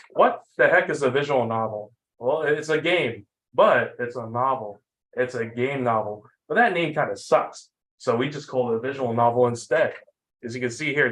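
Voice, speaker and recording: A man's voice: mid-range voice, fairly bright tone, low-quality recording. Young adult male student presenter, informal and self-deprecating, tired from lack of sleep, rambling through a lecture. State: sleep-deprived.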